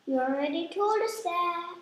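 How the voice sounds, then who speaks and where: A woman's voice: very high voice, expressive, normal speed, fairly bright tone, a little hiss or hum. A woman playing a stubborn, upset young daughter in a radio play, insistent and pouting. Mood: defensive. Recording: echoey room.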